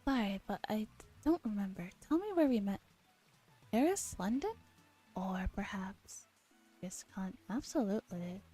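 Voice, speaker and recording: Female streamer, mellow and gentle, relaxed ASMR read-aloud with casual asides, light music behind. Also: mid-range voice, a little slow. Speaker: streamer reading a visual novel aloud and chatting with viewers. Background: background music.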